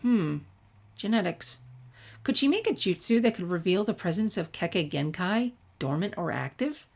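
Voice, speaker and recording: Woman narrating a story, lowish voice. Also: lively intonation, moderate pace, gravelly. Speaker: audiobook-style reader of fan fiction.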